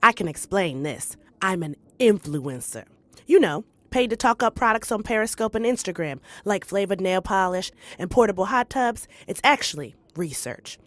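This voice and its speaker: A woman acts as a young influencer in an audio comedy. She is chirpy and evasive, with an animated mid-pitched voice, recorded dry. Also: normal speed, dry recording, natural voice. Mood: breezy, evasive.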